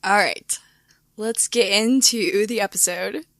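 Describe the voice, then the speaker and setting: A woman co-hosting a podcast in casual chat, with a hoarse voice and lots of filler words. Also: medium pitch, slow pace, fairly bright tone, no room sound, studio quality. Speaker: podcast co-host.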